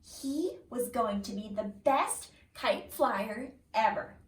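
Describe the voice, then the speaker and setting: A woman reading a picture book aloud like a kindergarten teacher, expressively, pausing to put questions to young listeners. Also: on the high side, lively intonation. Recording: roomy echo, distant from the mic.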